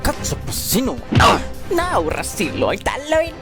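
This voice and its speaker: A man's voice: very high-pitched, huge pitch swings, exaggerated voice. A man voicing a mocking, exasperated clown villain with a theatrical delivery in a comic cartoon parody dub. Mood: taunting. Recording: music clearly behind the voice.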